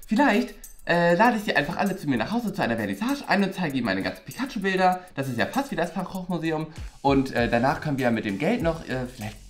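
A woman's voice: low voice, big pitch swings, fast, faint music behind. A woman playing a flustered, bossy male teacher in a comedy sketch, rambling and impatient. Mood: exasperated. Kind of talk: improvised-sounding comedy sketch acting.

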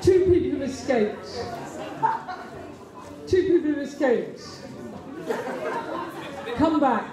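A woman's voice: high-pitched, expressive, slow pace, pauses often, distant mic. A woman with an English accent calling steps at a barn dance, in a chatty, sing-song way that keeps breaking into laughter. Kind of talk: calling dance moves over live music. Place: large community hall with dancers. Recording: echoey hall over loud band and crowd.

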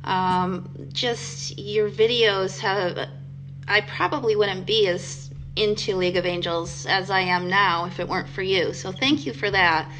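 A woman's voice: medium pitch, a little slow, fairly bright tone, a little hiss or hum, poor audio. A woman hosting a live stream interview, friendly and addressing her chat.

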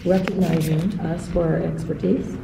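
A woman speaking earnestly as an interview guest, stressing points in steady lists. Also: lowish voice, moderate pace, vocal fry. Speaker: guest, association president. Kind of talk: interview talk. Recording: echoey distant mic with background noise.

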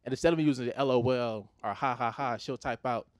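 A man from Los Angeles in his thirties with an American accent, performing a stand-up comedy set on a theater stage into a handheld mic, animated and conversational. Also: mid-range voice, fast, no room sound, clean studio sound. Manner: animated, conversational, switches into put-on Nigerian voices for his parents.

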